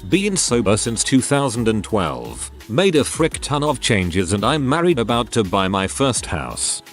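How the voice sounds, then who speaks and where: A man reading online posts aloud as a narrator in an even, steady delivery. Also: mid-range voice, unhurried. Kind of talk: scripted narration. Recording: soft music bed behind voice. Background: faint background music.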